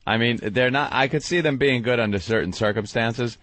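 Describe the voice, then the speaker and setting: A man's voice: mid-range voice, a little fast, a bit bright. A man co-hosting a radio show, making dry remarks in casual banter. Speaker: radio co-host.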